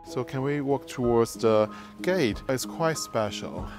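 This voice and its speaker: A man's voice: medium pitch, lively intonation, a little slow. A man hosting a casual guided tour, explaining things appreciatively in non-native phrasing. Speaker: host, garden visitor filming a tour. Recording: music behind.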